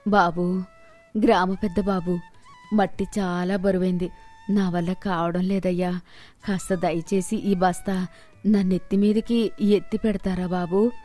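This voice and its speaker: A woman's voice: fairly low pitch, little pitch movement, a little slow, long pauses, a little mellow, faint music behind, natural voice. Woman acting shrewd, poor old village woman. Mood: humble pleading. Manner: pleading, respectful address building to stern rebuke.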